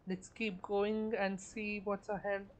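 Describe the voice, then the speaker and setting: Casting: female game streamer, slow-paced and low-key, medium pitch, casual thinking-aloud commentary, faint game noise behind. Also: level tone. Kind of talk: casual live gameplay commentary. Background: game sound.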